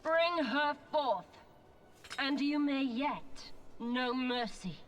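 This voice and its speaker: An actress playing an imperious, menacing military commander in a video game, commanding and deliberate. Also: female voice, high-pitched, slight background noise. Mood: cold, threatening.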